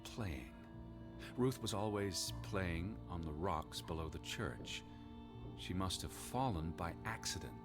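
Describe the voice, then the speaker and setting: Game role: reserved, anxious male naturalist, low register, formal and hesitant, music underneath. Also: lively intonation, slow pace. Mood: somber, pondering. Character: reserved, anxious American male naturalist searching for his sister. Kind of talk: video game drama acting.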